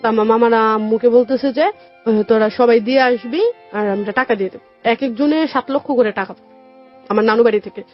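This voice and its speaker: A woman's voice: on the high side, a little fast. A young woman with a Bangladeshi Bengali accent telling her life story in a colloquial way as a guest in a radio interview, often retelling conversations as dialogue. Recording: dull lo-fi radio audio. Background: music.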